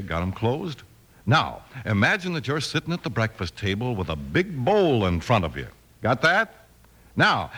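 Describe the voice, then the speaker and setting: A man as a vintage radio commercial announcer, chatting coaxingly and directly to the listener in a scripted pitch. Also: bright, forceful tone.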